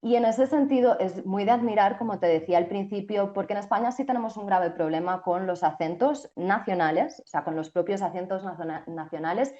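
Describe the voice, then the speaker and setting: A woman's voice: mid-range voice, a little fast, few pauses, mellow, highs cut off. A young woman from Spain with a Spanish accent, a journalist answering interview questions thoughtfully, with frequent restarts and fillers. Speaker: TV journalist and co-host.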